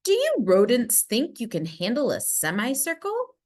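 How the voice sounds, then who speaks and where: A woman voicing a cool, kindly but firm male mouse schoolteacher in a storybook, measured and teacherly. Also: on the high side, huge pitch swings, a little mellow, pitched above the performer's own voice. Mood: jaunty, approving.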